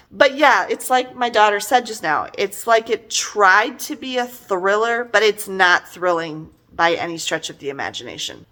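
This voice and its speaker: A middle-aged woman with a very bright, forceful voice at an ordinary female pitch. She talks casually, as if reviewing for friends, with animated emphasis and an exasperated edge. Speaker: book reviewer. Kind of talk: casual conversational book review.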